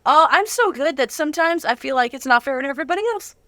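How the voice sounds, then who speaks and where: A woman's voice: sits high, lively intonation, fast talker, bright, forceful tone, no room sound. A woman hosting an interview in a studio, chatting in an effusive, upbeat way. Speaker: interview host. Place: recording studio.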